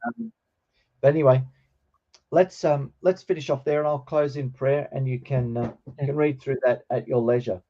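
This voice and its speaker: A middle-aged man with a slightly croaky voice, teaching an informal online lesson in a hesitant, explanatory way. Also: medium pitch, fairly even intonation, normal speed. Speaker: teacher of New Testament Greek. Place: online video call with screen share. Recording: dull, lo-fi online call.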